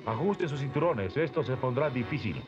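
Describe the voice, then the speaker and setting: A man's voice: medium pitch, animated, moderate pace, natural voice. A man with a Latin American accent voicing a good-natured, assured young hero prince in a cartoon. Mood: urgent. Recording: dull dub under loud cartoon music.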